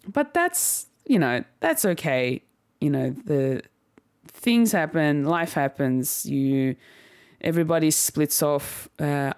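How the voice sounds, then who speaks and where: A young adult host muses aloud in an unhurried, easygoing way, circling back and correcting themselves with little laughs along the way. The voice is smooth and clean. The recording is dry and close, with no room sound. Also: mid-range voice, darkish tone, no room sound. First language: Turkish heritage household, speaks English at home. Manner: reflective and rambling, frequent laughs and self-corrections. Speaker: podcast host. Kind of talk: casual solo podcast monologue.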